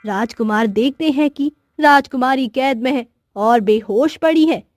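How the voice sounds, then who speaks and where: Female storyteller for cartoon tales: high pitch, scripted narration, dry close sound. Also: unhurried, dry recording.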